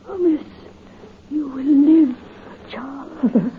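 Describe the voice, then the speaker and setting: A woman's voice: high-pitched, very slow, slight background noise. An actress playing a resolute aristocrat mother who urges sacrifice in solemn, archaic 1930s radio drama speech. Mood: anguished resolve. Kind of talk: 1930s radio horror acting. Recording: muffled 1938 radio broadcast.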